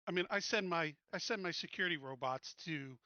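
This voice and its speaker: A man chatting casually as a player in a tabletop roleplaying game, blunt and quick to wisecrack. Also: on the high side, pushed and bright, dry recording, lo-fi sound. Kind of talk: casual in-character game chat.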